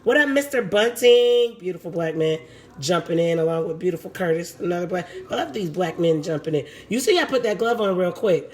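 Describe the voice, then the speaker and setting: A woman with a raspy voice, hosting a casual live cooking demo from her home kitchen and chatting with her viewers as she works. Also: fairly low pitch, expressive, fairly quick, a little mellow. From Puerto Rico.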